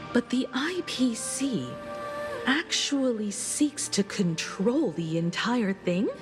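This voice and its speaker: An actress playing a composed, wary woman traveler in a video game, speaking with formal, reserved care. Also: fairly low pitch. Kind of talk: scripted video game dialogue.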